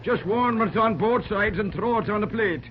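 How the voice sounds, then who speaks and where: A man's voice: high voice, normal speed, lightly acted. A man doing a brogue-heavy Irish railroad man. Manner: Irish turns of phrase, easygoing and teasing. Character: warm, plain-spoken older Irish railroad man. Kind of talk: 1940s radio acting. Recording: dull old radio recording with hiss.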